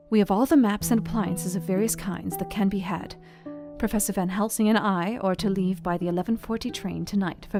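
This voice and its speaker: A woman's voice: medium pitch, darkish tone, close to an everyday voice. Earnest Victorian young wife, played by a woman, guitar behind. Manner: earnest, heartfelt, formal old-fashioned phrasing. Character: Mina, brave, devoted young Victorian wife writing her journal. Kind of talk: dramatized diary narration in a radio play.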